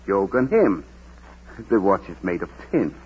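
Wise gentle priest, very husky, lowish pitch, measured, old radio drama, muffled recording. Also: male voice, very animated, exaggerated voice. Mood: gently persuasive. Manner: thoughtful, measured, kindly. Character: gentle, wise, patient male parish priest. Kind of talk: Golden Age radio drama acting.

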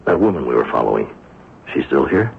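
A man's voice: extremely low pitch, very animated, a little fast, creaky, heavily acted. An actor playing a grumbling, skeptical police inspector in a 1970s radio drama, blunt and colloquial in his talk. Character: grumbling, skeptical police inspector, the sleuth's plain-spoken sidekick. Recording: muffled, noisy old radio broadcast recording.

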